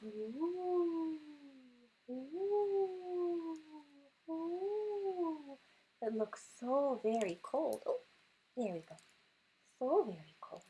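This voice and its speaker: A woman's voice: very high voice, sing-song, extremely slow, pauses often, mellow, a little hiss or hum, low-quality recording. A woman reading a picture book aloud to young children, acting out sound words expressively and chatting to her listeners. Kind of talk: animated read-aloud with asides to young listeners.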